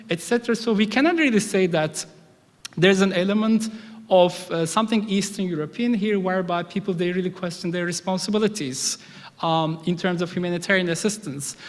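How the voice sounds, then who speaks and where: A man giving a thoughtful public talk, often restarting his phrases and filling in with "you know". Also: sits high. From United Kingdom. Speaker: academic speaker. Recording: reverberant hall.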